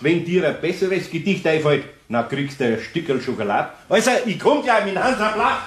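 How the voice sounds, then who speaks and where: An older Bavarian master craftsman in a children's radio play. He grumbles and reasons patiently in a kindly way, his pitch moving up and down freely. The sound is noticeably roomy. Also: very animated, normal speed. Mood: patient, teasing.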